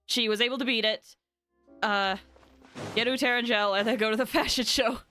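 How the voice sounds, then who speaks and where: A woman's voice: fairly high pitch, steady tone, normal speed, bright, forceful tone. A young woman chatting casually and effusively as a game livestreamer, often exclaiming. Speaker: game streamer. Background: game music.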